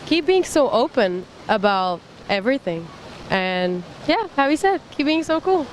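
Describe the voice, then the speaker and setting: An enthusiastic woman from Prague answers with a slightly high voice. Faint traffic noise carries behind her outdoors. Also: big pitch swings, a little slow, low-quality recording. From Prague, Czech Republic. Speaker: visiting musician. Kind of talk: casual street interview answers.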